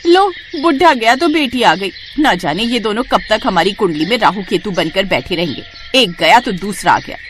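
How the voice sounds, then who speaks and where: A woman voicing a spiteful, scheming stallholder's wife in an animated story, jealous and scornful. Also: mid-range voice, moderate pace, few pauses, a little hiss or hum, lightly acted. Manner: jealous, scornful, sharp-tongued. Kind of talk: animated moral story acting.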